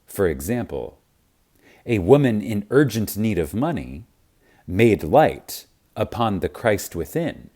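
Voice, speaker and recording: A man reading a spiritual audiobook reverently and emphatically, with deliberate dramatic pauses. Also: lowish voice, big pitch swings, warm tone. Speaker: audiobook narrator.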